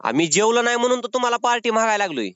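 A man's voice: extremely high pitch, big pitch swings, racing, fairly bright tone, clean tone, no echo. A man making a prank phone call, bantering in an insistent, wheedling, mock-pleading way. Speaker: prank caller. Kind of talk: unscripted-sounding phone banter. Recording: dull lo-fi phone call recording.

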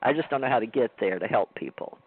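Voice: mid-range voice, lively intonation, fast talker, breathy edge, phone-quality sound. A man hosting a casual talk show, rambling and interrupting himself as he asks a guest questions. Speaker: host.

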